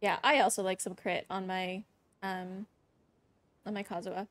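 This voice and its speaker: A woman's voice: mid-range voice, level tone, normal speed, fairly bright tone, no room sound. A woman livestreaming a video game, giving casual, chatty commentary with playful reactions to what happens on screen. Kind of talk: casual live gameplay commentary.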